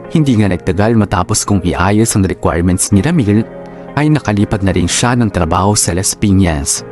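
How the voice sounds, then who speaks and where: Low-voiced male narrator telling a story calmly over faint music. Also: normal speed.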